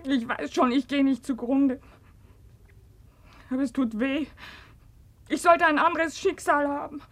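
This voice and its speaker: A woman's voice: sits high, steady tone, unhurried. An actress playing a suffering, resigned young woman in a German radio drama, plaintive and wounded by fate. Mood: pained, resigned.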